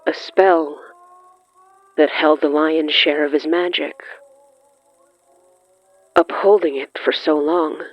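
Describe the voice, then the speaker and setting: A narrator reading scripted horror fiction in the third person, brooding and dramatic, with lingering pauses. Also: mid-range voice, steady tone, no echo. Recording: muffled lo-fi sound with music underneath. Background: music.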